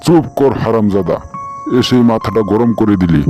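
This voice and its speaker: A man voicing a gruff, short-tempered, bossy tiger uncle in a comic cartoon. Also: on the low side, animated, normal speed, warm tone, soft background music, lightly acted. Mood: angry, grumbling.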